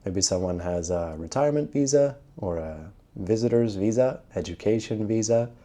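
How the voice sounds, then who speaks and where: A young man from Sweden talking casually to camera in a travel vlog, chatty and relaxed, with frequent filler sounds. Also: fairly low pitch, moderate pace. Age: young adult. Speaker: travel vlogger.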